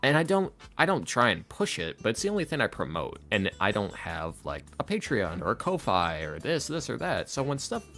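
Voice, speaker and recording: A man with a deep voice speaks as a podcast guest in a casual, opinionated way. His intonation swings widely as he rambles and stresses his points. Soft guitar music plays faintly behind him. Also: wildly swooping pitch, moderate pace, hardly any pauses. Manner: emphatic, rambling, slangy, often acting out imagined quotes. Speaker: podcast guest, ASMR roleplay creator. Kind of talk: casual, opinionated interview talk.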